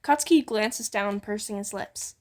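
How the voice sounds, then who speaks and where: A woman's voice: medium pitch, normal speed, darkish tone. A woman reading fan fiction aloud in an informal, playful way, with bits of banter.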